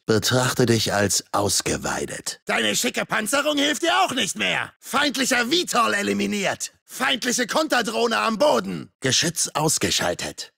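A raspy masked slasher killer from a video game, with a forceful edge and swooping pitch. He is sadistic and mocking, taking his time as he taunts his victims and calls out combat lines. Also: no echo, studio quality, exaggerated voice. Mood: shouting, aggressive. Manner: taunting, sardonic.

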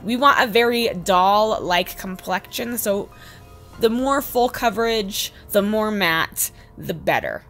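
A woman in her twenties with a slightly hoarse voice, giving a casual, chatty makeup tutorial voice-over. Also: medium pitch, slow pace, fairly bright tone. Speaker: beauty vlogger, host. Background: background music.

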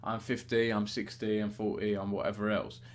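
A man's voice: lowish voice, little pitch movement, brisk. A middle-aged man interviewing someone in a casual, conversational way over a video call. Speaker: interviewer, gaming news editor. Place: small home room, indoors, on a video call.

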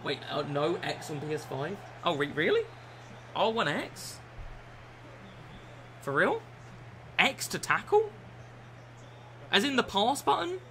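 A young man with a croaky, bright, forceful voice, talking over his gameplay and answering chat. His pitch sits a touch high, and a faint hum of room ambience lies under him. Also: big pitch swings, slow pace, pauses often. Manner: swears often, shouts and laughs at the game. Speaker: gaming streamer. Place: small room indoors.

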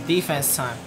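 Young man streaming, blunt and mumbling. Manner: blunt, talks back to chat, low mumbled asides. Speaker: game streamer. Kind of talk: casual live-stream chat while playing. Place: home room at a desk. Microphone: headset. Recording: game music playing loudly underneath. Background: game music.

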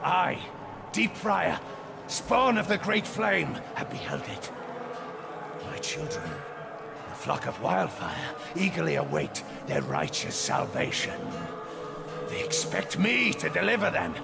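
A man's voice: fairly high pitch, wildly swooping pitch, slow pace, vocal fry, full character voice. An actor playing a grandiose male cult preacher who leads a fire-worshipping flock, in a video game cutscene. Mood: preaching. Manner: grandiose, preaching, sweeping swings between loud and hushed. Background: loud score music.

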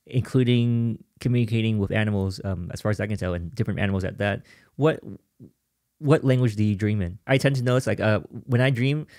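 A man's voice: fairly low pitch, a little fast, a little mellow. A man hosting a podcast interview, asking questions and rephrasing as he goes. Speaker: podcast host. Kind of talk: conversational interview.

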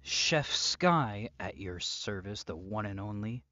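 Male actor as controlling, menacing young man for audio roleplay; unhurried, whisper-prone, mocking; close, dull-sounding recording. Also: lowish voice, lively intonation, sharp tone, no echo, heavily acted. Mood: smug. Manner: slow, deliberate, often dropping into breathy whispers. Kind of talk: ASMR-style audio roleplay drama.

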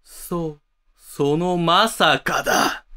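Melodramatic, fanatical club president villain. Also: male voice, on the high side, very slow, bright, forceful tone, clear, resonant tone, heavily acted. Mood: menacing. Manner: grandiose, melodramatic. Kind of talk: amateur voice drama acting.

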